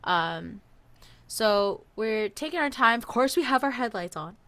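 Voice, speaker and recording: A young woman from Hawaii co-hosting a podcast, telling a story in a lively, laughing way. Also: fairly high pitch, measured pace, no room sound. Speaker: podcast co-host. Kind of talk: casual storytelling.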